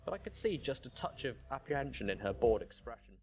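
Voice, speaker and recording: A man's voice: mid-range voice, big pitch swings, fast, a little mellow, muffled recording. A man reading a story aloud as a dramatic narrator. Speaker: audiobook reader.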